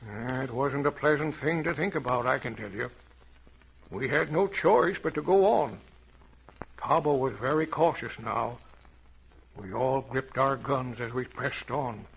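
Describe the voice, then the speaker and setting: A man's voice: mid-range voice, a little slow, creaky, breathy edge. An American man playing a bold, commanding safari captain in a theatrical old-time radio adventure serial. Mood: grave storytelling. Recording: dull, noisy vintage radio recording.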